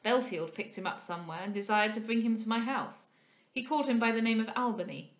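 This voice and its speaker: A woman voicing a scheming, guarded gentleman in a period novel, formal and insistent. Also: fairly high pitch, fairly quick, highs cut off, close to an everyday voice. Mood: measured. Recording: echoey room.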